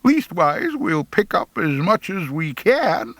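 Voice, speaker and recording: A male reader puts on a sly logger for a comic audiobook, pitched well up high. He talks in loose country idiom with mean glee, in a dry, close sound. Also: big pitch swings, takes it slowly, a bit bright, no echo, heavily acted. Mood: sly amusement.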